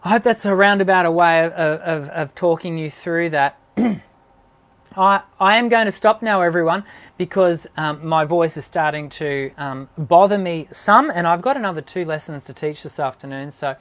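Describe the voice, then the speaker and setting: A woman's voice: on the low side, moderate pace. Casting note: woman vocal coach, live-stream Q&A, thinks aloud and keeps rephrasing. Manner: meandering, thinks aloud, self-correcting.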